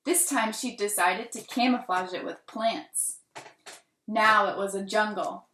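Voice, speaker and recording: A woman reading a children's picture book aloud with deliberate, dramatic emphasis and expressive delivery. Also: mid-range voice, slight background noise. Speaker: children's storybook reader. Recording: roomy, echoing room sound.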